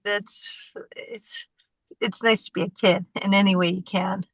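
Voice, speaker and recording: A woman's voice: mid-range voice, steady tone, measured pace, no echo. A woman with a young-sounding voice guesting on a podcast as a filmmaker, friendly in casual chat. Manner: friendly, laughs easily.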